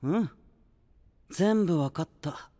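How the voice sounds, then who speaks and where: An actor playing a calm, clever young male knight in an anime-style voice drama, cool, confident and lightly mocking. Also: a little high, slow, no room sound. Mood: confident, explaining.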